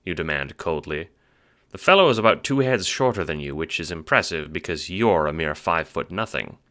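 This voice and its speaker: A man's voice: sits low, animated, a little fast, a bit bright. A man narrating an audio drama in dramatic, scripted second-person storytelling.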